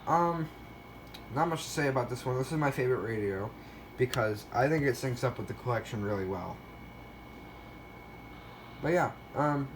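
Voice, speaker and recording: A man's voice: medium pitch, a little hiss or hum. A man giving a casual show-and-tell narration in a hesitant, rambling way, often correcting himself. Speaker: video creator showing a weather radio collection.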